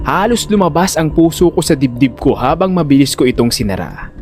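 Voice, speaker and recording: A man's voice: animated, fairly quick, a little mellow, soft background music, natural voice. A man playing an anxious, protective older brother who tells his suspense story in first person, with dramatic narration and acted dialogue. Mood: tense. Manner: dramatic storytelling, exasperated and high-pitched in dialogue.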